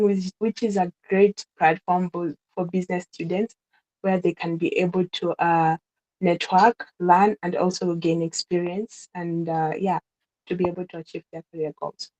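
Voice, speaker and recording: A woman gives hesitant answers in a mock visa interview and fills her pauses with many fillers. Her voice is fairly low for a woman, and her tone stays flat and even. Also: poor audio.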